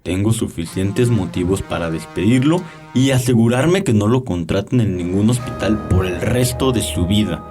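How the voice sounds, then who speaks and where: An actor playing a cold, threatening older doctor who is secretly a vampire, in a stern and condescending manner for a dramatized audiobook. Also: male voice, on the low side, expressive, normal speed, darkish tone, natural voice. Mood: cold threat. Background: background music.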